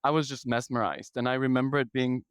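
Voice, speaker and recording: A man's voice: medium pitch, steady tone, quick pace, clean tone, no echo, clean studio sound. A man in his thirties from Ecuador with an Ecuadorian accent, a podcast guest answering questions in a thoughtful, articulate way. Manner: thoughtful, articulate, lists ideas in sequence. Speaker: podcast guest, product executive. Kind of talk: conversational interview answers.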